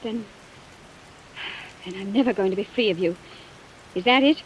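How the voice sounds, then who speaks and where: A woman's voice: mid-range voice, normal speed, lightly acted. Lady acting a proud desperate young woman, dramatic old time radio style, dull hissy recording, rain. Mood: desperate, defiant. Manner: earnest, dramatic.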